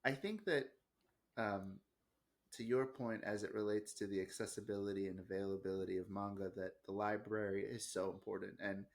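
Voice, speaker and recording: An American man co-hosting a casual podcast discussion, speaking in a thoughtful, measured and earnest way. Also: fairly low pitch, fairly even intonation, creaky. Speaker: podcast co-host.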